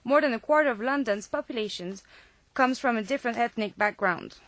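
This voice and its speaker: A woman's voice: on the high side, animated, fairly quick, a bit bright, no room sound. A woman with French as her first language, presenting a student radio programme from a reading script.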